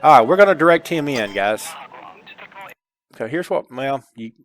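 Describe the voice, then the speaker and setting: A man's voice: mid-range voice, expressive, moderate pace, a bit bright, a little hiss or hum. A middle-aged man giving a casual, folksy step-by-step game tutorial on a headset, addressing his viewers informally. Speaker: gameplay tutorial host.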